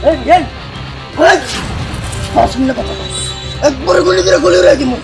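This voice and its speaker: A man's voice: very high-pitched, very animated, normal speed, vocal fry, over loud music, full character voice. A man with a Bangladeshi accent playing a menacing, swaggering young gang boss who scolds in colloquial speech in a short-film drama. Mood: bullying, scornful.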